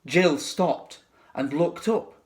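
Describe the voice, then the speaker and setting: A man narrating a children's storybook expressively, with dramatic, deliberate phrasing. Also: fairly high pitch. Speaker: teacher reading to pupils.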